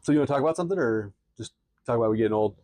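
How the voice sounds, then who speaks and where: A man's voice: mid-range voice, expressive, rapid-fire, mellow, dry recording. A man in his late thirties co-hosting a podcast, joking and teasing in casual chat, laughing often. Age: 30s. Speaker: podcast co-host.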